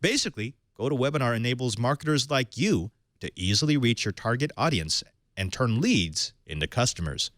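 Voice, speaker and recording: A man hosting a tech podcast, reading a sponsor spot and then welcoming and questioning a guest in a lively way. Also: mid-range voice, brisk, no echo, clean studio sound. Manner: animated, leading questions with fillers. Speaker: podcast host. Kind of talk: tech show hosting and ad read.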